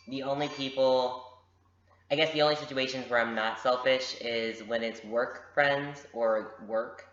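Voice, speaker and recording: A man's voice: mid-range voice, fairly bright tone. Frank young man, roomy dull recording. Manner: frank, direct, many fillers. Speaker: vlogger answering viewer questions. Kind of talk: casual Q&A vlog. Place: home room with a pet bird. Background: bird noises.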